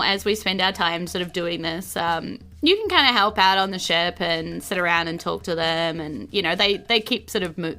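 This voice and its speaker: A woman's voice: lowish voice, gravelly. A woman with a New Zealand accent narrating a tabletop roleplaying game as game master in a casual, improvised way. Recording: light music under the voice. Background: soft background music.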